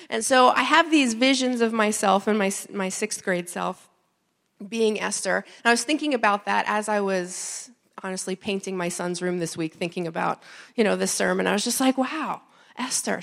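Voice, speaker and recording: A woman in her forties gives a chatty, self-deprecating sermon into a handheld mic. She sounds stuffy from a summer cold. Her voice sits in a middle pitch and often drops into a gravelly fry. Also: moderate pace. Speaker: guest preacher. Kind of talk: storytelling sermon. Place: church sanctuary.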